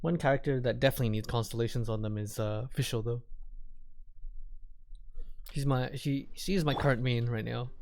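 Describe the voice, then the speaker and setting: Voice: mid-range voice, steady tone, moderate pace, pauses often, darkish tone, slight background noise. A game streamer giving casual live commentary, rambling and repeating phrases while thinking aloud.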